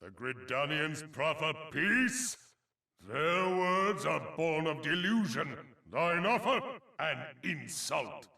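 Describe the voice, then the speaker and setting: An actor playing an ancient, stern forest guardian god in a fantasy game, speaking in solemn, grandiose archaic language with a gravelly voice. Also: male voice, fairly high pitch, very animated, takes it slowly, sharp tone, full character voice. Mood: indignant. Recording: echoing, distant from the mic.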